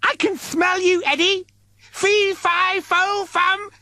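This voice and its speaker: A man voicing a gleeful, taunting male tormentor in a high-pitched, screechy voice for an audiobook. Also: flat delivery, takes it slowly, sharp tone, no echo, exaggerated voice. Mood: gleeful menace. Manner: taunting, sing-song menace. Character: gleeful, menacing grinning head in a suit, male tormentor.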